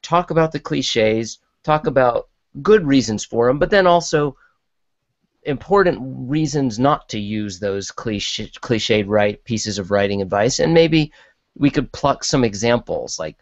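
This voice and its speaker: Mid-low voiced panelist, dry muffled audio. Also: lively intonation, a little slow, no echo. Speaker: podcast panelist. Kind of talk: casual discussion.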